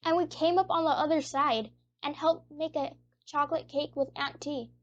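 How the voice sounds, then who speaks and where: Actress doing eager stubborn little kid boy, high childish voice, radio play. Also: steady tone, normal speed, muffled recording, natural voice. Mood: indignant. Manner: childlike, insistent. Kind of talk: radio drama acting.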